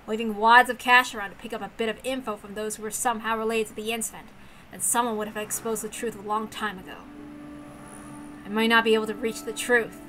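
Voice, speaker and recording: A bitter, weary young woman consumed by revenge, voiced by a female reader in a visual novel monologue. She broods intensely in a highish, bright voice over faint music. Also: lightly acted, rough recording. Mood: doubtful, brooding.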